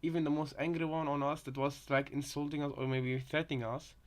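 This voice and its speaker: A man's voice: on the high side, flat delivery, brisk. Rambling male reactor, casual commentary. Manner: rambling, repeats and reworks phrases. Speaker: reaction video host.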